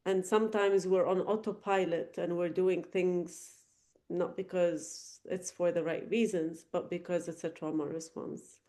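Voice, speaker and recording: Mellow-voiced Lebanese-raised woman guest. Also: on the low side, flat delivery, a little slow. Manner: thoughtful, earnest, with frequent fillers and restarts. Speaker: podcast guest. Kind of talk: reflective interview answers.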